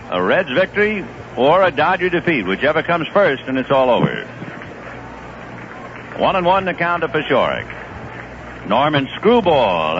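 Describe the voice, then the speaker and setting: A man calls baseball play-by-play in a slightly high voice that rises and falls freely. He speaks conversationally and lifts into bursts of excitement on big plays. The sound is a dull old radio broadcast under a clear layer of hiss. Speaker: play-by-play announcer.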